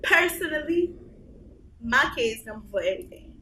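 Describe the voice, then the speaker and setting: A woman's voice: high voice, expressive, normal speed, sharp tone. A woman around twenty, a student, speaking bluntly and with animation in a casual chat. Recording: roomy room, distant mic, noise.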